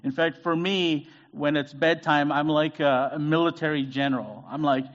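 A man's voice: a little high, fairly even intonation, moderate pace, lo-fi sound. Hesitant man preaching. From Zambia. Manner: hesitant, many fillers and restarts. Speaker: guest preacher. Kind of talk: conversational sermon. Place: church sanctuary.

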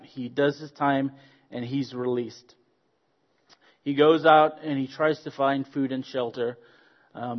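A man from Zambia, a guest preacher giving a friendly sermon with stories, joking and pausing on fillers. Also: mid-range voice, muffled recording. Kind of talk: sermon with storytelling.

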